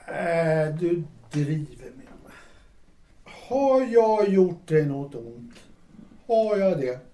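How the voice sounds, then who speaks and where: Loyal, wounded stage player male actor, audio drama: high-pitched, earnest protest, echoey and distant. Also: big pitch swings, dark tone. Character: wounded, reluctant, loyal male theatre actor in 1890s Paris. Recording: echoey room, distant from mic.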